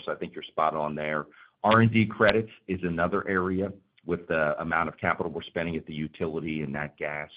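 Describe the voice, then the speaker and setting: Low-voiced male finance executive on phone. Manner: methodical, point-by-point explaining. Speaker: company finance executive on an earnings call. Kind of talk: unscripted investor Q&A answers. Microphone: conference phone call. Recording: narrow tinny phone line.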